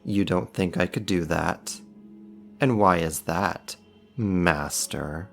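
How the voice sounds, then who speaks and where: Deep male demon played by a man, unhurried, sly, sarcastic, condescending, ASMR roleplay, music behind. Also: gravelly, exaggerated voice. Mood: mock servile, teasing. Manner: sarcastic, teasing, drawn-out mock politeness. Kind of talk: ASMR roleplay, slow scripted monologue to the listener.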